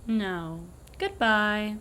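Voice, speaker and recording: A woman's voice: mid-range voice, lively intonation, drawn-out words, bright, forceful tone, smooth, heavily acted. Woman acting haughty, cryptic ancient prophetess. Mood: haughty, stern. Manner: imperious, formal, archaic wording. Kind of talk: audio drama acting.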